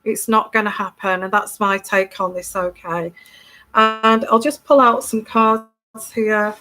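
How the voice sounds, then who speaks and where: A woman's voice: medium pitch, steady tone, measured pace, bright, forceful tone, low-quality recording. A woman with a stuffy head cold, talking casually to her viewers as a tarot reader, often tagging her points with "okay?" and restarting words. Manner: chatty, keeps tagging "okay?", many restarts. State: congested from a cold. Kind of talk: casual solo video monologue.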